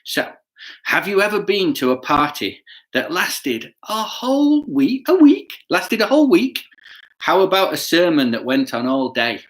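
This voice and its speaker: A man reading a children's story aloud with chatty asides, playful and expressive, often putting questions to his listeners. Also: high-pitched, measured pace. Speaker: children's bedtime story reader.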